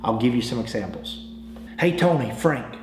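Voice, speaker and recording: A man's voice: mid-range voice, animated, normal speed. An American man giving an informal sales training talk, with a folksy, persuasive salesman's manner, repeating phrases and restarting as he goes. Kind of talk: informal training talk with acted example phone calls. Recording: roomy, echoing sound with faint noise.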